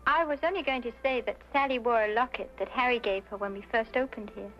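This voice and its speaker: A woman's voice: fairly high pitch, fast, soft background music, lightly acted. An actress playing an earnest, obliging young showgirl singer in an old-time detective drama, telling things dramatically. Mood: earnest recounting. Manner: earnest, dramatic, gossipy recounting. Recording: muffled old radio-era recording.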